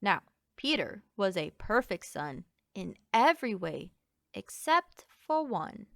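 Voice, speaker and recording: A woman reading a rhyming children's story aloud in an expressive, sing-song way. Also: mid-range voice, slow pace, creaky, dry recording, studio quality. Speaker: picture book reader.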